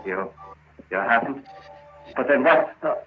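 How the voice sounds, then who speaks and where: A man's voice: mid-range voice, expressive, measured pace, music in the background, close to an everyday voice. Actor playing an agitated madman, wildly swooping voice. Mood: rattled. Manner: stammering, pleading, melodramatic. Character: agitated guilty madman tormented by imagined heartbeat. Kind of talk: 1940s radio drama acting. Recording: muffled, noisy old-time radio recording.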